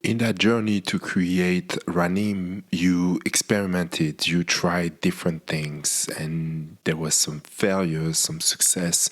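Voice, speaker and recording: A man from France hosting a podcast, asking thoughtful, curious questions. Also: deep voice. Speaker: podcast host.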